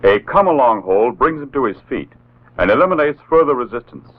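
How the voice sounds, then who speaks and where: An American man narrating a 1960s instructional film script in a formal, authoritative, measured way. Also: medium pitch, sing-song. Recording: tinny narrow-band old film soundtrack.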